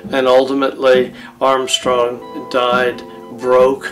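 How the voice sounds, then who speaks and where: An older man telling history in an unscripted interview, halting with long pauses. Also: medium pitch, monotone, recorded from a distance, faint music behind, low-quality recording. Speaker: interviewed expert. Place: indoors, book-lined room.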